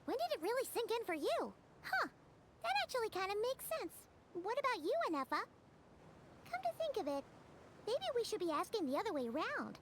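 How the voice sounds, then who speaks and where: Casting: pint-sized excitable fairy mascot for a game, squeaky, very high, bouncy and animated. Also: female voice, brisk, noisy background. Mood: curious, chatty. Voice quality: squeaky, childlike. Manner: chirpy, speaks of self in third person. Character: tiny excitable childlike floating fairy companion. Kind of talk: video game voice acting. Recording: background music under the voice.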